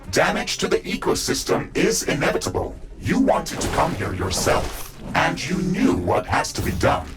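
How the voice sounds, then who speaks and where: Stubborn by-the-book mech ai, game dialogue, procedural and measured but pitch jumps around a lot, music under it. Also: male voice, a bit bright. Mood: stern challenge. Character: dutiful male AI mech suit, stern, by-the-book, stubborn. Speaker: video game companion AI. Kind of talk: scripted video game voice acting.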